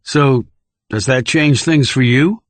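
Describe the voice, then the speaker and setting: A man's voice: mid-range voice, takes it slowly, dry recording, natural voice. Man reading as a blunt, wry male operative. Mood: probing. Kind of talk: audiobook character dialogue.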